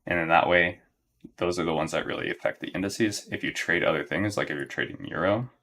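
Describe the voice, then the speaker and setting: Low gravelly monotone young man. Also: a little fast. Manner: monotone, relaxed, low-key; thinking aloud with fillers, frequent "right?". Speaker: day trader streaming live. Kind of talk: live trading commentary to chat.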